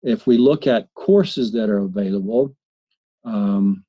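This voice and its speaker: A man presenting a software demo in a webinar, talking haltingly with many fillers and restarts. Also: fairly low pitch, slightly rough. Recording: slightly muffled webinar audio.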